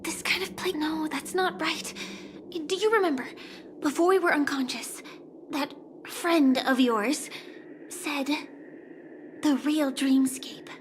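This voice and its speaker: An actress playing a guarded, perceptive young woman in a video game, speaking in a measured, serious and wary way. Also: high-pitched, a little hiss or hum. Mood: uneasy.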